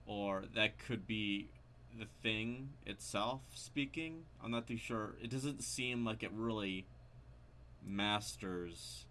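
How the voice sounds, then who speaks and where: A man's voice: fairly low pitch, sharp tone, a little hiss or hum. A man giving casual, unscripted game commentary, hesitant and rambling as he thinks aloud. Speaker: video creator commenting on a horror game.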